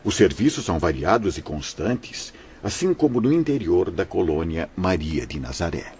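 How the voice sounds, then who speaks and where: A man's voice: sits low, very animated, moderate pace, darkish tone, highs cut off, slight background noise. A man playing a wise, benevolent spirit mentor in an audio drama, speaking in a solemn, formal way. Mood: solemn, kindly. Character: wise, benevolent male spirit mentor narrating past events.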